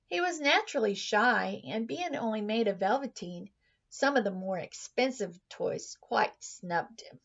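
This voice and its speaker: Slow, creaky-voiced woman reading a story. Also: medium pitch. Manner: expressive, deliberate storytelling. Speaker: teacher reading aloud. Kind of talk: scripted children's story narration. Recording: slightly dull, muffled sound.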